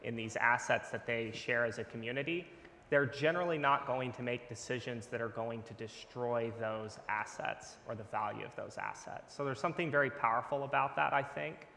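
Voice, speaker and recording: A man's voice: mid-range voice, normal speed, gravelly, sharp tone. A man answers on a conference panel in a confident, fluent, explanatory way. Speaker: panelist, game company leader. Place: large conference event hall. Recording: echoey hall with faint noise.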